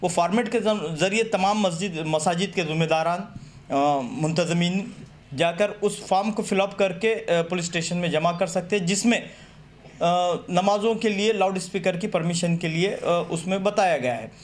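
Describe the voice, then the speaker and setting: A man's voice: high voice, moderate pace. A man giving a methodical, formal statement to the press. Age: 30s. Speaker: political party representative. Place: small office room, seated at a table. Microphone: press microphones on the table. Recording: clearly noisy room recording.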